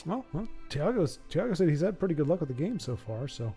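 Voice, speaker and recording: A man's voice: a little high, very animated, fairly quick, warm tone, breathy edge, soft background music. Chatty, stammering male stream host. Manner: chatty and rambling, stammers and repeats words. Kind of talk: casual livestream chat over card game play.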